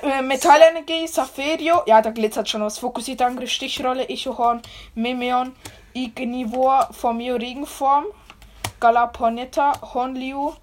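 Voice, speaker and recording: A young woman with a hoarse voice, chatting excitedly as she opens trading card packs and reads out the cards, full of slang. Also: sits high, moderate pace, slight background noise. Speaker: card pack opener. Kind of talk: excited casual chat.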